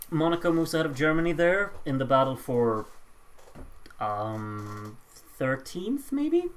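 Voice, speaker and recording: A mid-pitched voice hosts a live voting show in a casual way. The results are announced with stretched-out suspense, broken up by dry, offhand remarks to the viewers. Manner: dry, offhand asides to chat between announcements. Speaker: host announcing song contest voting results. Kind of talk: casual live commentary on a points vote.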